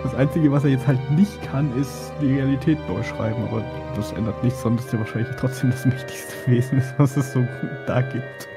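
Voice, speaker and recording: A man's voice: mid-range voice, fairly even intonation, fairly quick, hardly any pauses. A man chatting casually during a live game playthrough as a streamer. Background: loud game music.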